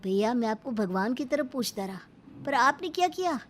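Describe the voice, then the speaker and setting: A woman's voice: a little high, big pitch swings, normal speed, soft background music. A woman voicing an aggrieved, resentful younger brother in a storybook drama, complaining and defiant. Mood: resentful, angry. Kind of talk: acted storybook dialogue.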